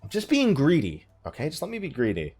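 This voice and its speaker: A man's voice: fairly high pitch, huge pitch swings, fairly quick, darkish tone, breathy edge, faint music behind. A man giving casual let's play commentary, rambling and thinking aloud as he plays. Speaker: gaming YouTuber hosting a let's play.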